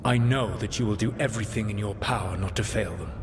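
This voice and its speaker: A man voicing a deep, solemn ancient elven lord. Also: background noise, lightly acted. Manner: deliberate, formal, reflective. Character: proud, regretful ancient male elven god, weary and measured. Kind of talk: dramatic video game acting.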